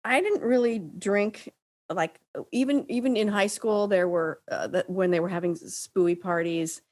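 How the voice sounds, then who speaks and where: A woman's voice: medium pitch, normal speed, a little mellow. A middle-aged woman co-hosting a podcast in casual conversation, searching for words and often checking in with her listener. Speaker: podcast co-host and writing teacher.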